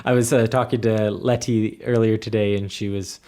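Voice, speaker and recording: A man's voice: on the low side, steady tone, normal speed, a little mellow. A man co-hosting a podcast, chatting casually and often thinking aloud. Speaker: podcast co-host. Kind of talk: casual chat.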